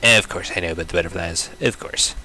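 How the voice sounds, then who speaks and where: A man's voice: sits low, quick pace, bright, forceful tone. A man playing through a visual novel, reading it aloud and commenting casually with sardonic, blunt reactions. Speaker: let's player. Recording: music behind the voice.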